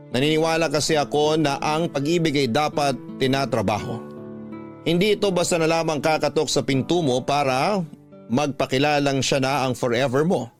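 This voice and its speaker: A man narrating a scripted first-person story for a radio drama, measured and dramatic, drawing out the ends of phrases. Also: medium pitch, no room sound, studio quality. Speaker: radio drama host and narrator reading a letter.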